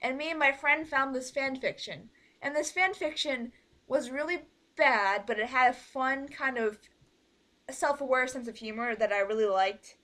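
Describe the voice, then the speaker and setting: A young woman with a fairly high, very bright voice hosts an informal online chat. She rambles in a giggly way and often cuts herself off to start again. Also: moderate pace. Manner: rambling, giggly, self-interrupting. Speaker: host of an online fan project meeting. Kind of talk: casual group call chat. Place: online group voice call.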